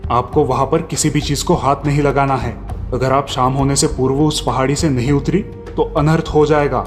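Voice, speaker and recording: A man's voice: mid-range voice, steady tone, normal speed, long reverb tail, soft background music, natural voice. A man voicing a cautious, protective king and father in a storybook tale. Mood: warning. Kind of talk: storybook dialogue.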